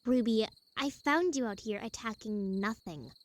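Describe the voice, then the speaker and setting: A woman's voice: on the high side, expressive, moderate pace, natural voice. A woman voicing a composed, frank young huntress in an audio drama, firm but caring. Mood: frank, concerned. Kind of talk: dramatic fan-fiction voice acting.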